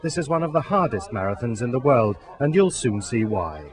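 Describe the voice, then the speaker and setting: A man reading scripted documentary narration about a race in a steady, measured way. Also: medium pitch, over music.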